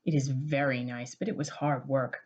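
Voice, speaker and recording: A woman's voice: rumbling low, little pitch movement, normal speed, darkish tone, dull audio, slight background noise. A woman voicing a kindly, sensible male frog who gives reassuring advice in a children's storybook. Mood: helpful. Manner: advising, reassuring. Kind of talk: children's storybook character reading.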